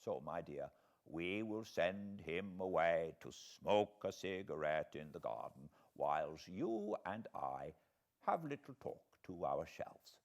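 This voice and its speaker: Slow, courtly old professor. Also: male voice, deep voice, big pitch swings, exaggerated voice. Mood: gentle, coaxing. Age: older. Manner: slow, deliberate, halting broken-English phrasing. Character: elderly courtly foreign professor, kindly, grave, wise. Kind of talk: audiobook character voice.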